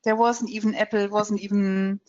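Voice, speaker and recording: A middle-aged woman with a German accent talks through her story in a relaxed, frank way, filling pauses with soft ums. Her voice sits at an ordinary middle pitch. The sound is dull and muffled, like webinar audio. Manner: frank, laughs easily, many ums. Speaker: trader, interview guest. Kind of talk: conversational interview storytelling.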